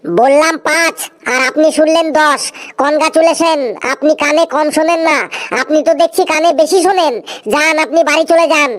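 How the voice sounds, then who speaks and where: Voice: high voice, steady tone, moderate pace, lightly acted. A performer voicing a sarcastic, brusque cartoon doctor who scolds patients in a comedy skit. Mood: exasperated.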